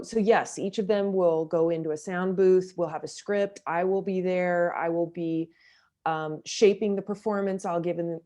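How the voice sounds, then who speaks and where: A woman talks as an interview guest, explaining how she works as a director. Her voice is fairly low, with a mellow and relaxed tone.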